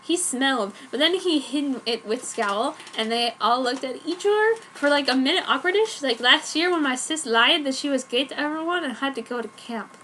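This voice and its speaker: A woman's voice: sits high, animated, moderate pace, few pauses, pushed and bright. A woman narrating amateur fan fiction in the first person as a theatrical dramatic reading. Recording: noticeable background noise.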